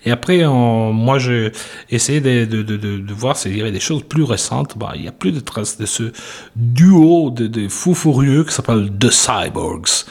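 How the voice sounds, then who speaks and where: A man from Italy with an Italian accent, introducing a track as a music show host, talking casually with halting restarts and fillers. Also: mid-range voice, expressive, gravelly, a little mellow. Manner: halting, repeats words, many fillers.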